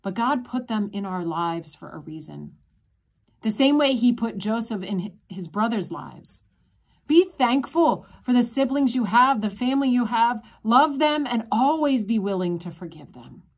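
A woman's voice: a little high, animated. Woman pastor telling a children's sermon. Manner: gentle, simple storytelling to children, with slow emphasis. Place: small indoor room with a block wall. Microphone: phone camera at arm's length.

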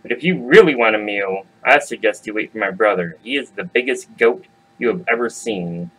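A man's voice: lowish voice, animated, moderate pace, a bit bright, low-quality recording. Man as a cocky, streetwise big goat. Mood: coolly persuasive. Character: big tough male goat, cocky rough-living gambler.

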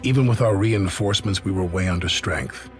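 A man's voice: sits low, brisk, soft background music, lightly acted. An actor playing a grave, weary soldier, narrating his war in a scripted video game cutscene. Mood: grave, measured.

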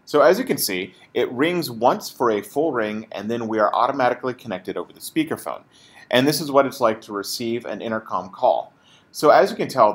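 A man presenting an informal, explanatory product tutorial as a support technician. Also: mid-range voice.

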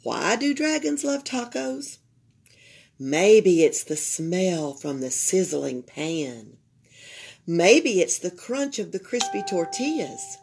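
Slow, gravelly Southern US woman reading aloud. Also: fairly low pitch. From Alabama, United States. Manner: expressive, pausing to comment to young listeners. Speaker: library storyteller. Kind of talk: children's picture book read-aloud. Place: indoors at a library table.

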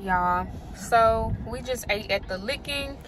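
A woman's voice: fairly high pitch, slow pace, background noise. Young woman vlogging, echoey sound. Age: 20s. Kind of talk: casual vlog narration. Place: indoors in condo rooms and inside a car. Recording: echoey rooms and car, music behind.

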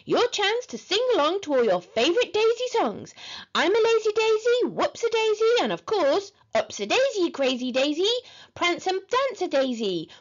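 A woman voicing a timid, goody-goody small boy with a childlike voice in a storybook. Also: lo-fi sound. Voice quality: high, childlike.

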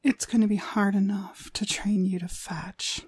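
A woman's voice: medium pitch, fairly even intonation, darkish tone, husky, no room sound. A woman playing a cruel, domineering demonic dog trainer in an ASMR roleplay, contemptuous and commanding in her delivery. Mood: hushed contempt. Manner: contemptuous, commanding, slow and deliberate. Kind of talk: ASMR roleplay, frequent breathy whispering.

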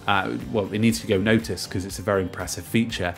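Man reviewing software over background music. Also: fairly low pitch, fairly even intonation, fast. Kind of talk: casual narrated screen-share software review. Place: voice-over to a screen recording.

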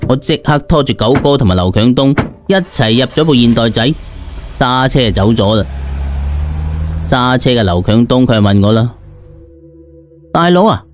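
A man's voice: medium pitch, normal speed. Cantonese guy reading a story audiobook style, dramatic delivery, bit of music underneath. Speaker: audiobook narrator. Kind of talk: scripted dramatic story narration. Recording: music behind the voice. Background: background music.

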